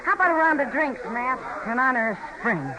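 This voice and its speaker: Friendly teasing old west saloon woman, high pitched, crowd behind, old radio show. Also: expressive, fairly quick, rough recording. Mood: coaxing. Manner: familiar, coaxing, direct. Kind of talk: 1950s radio Western acting.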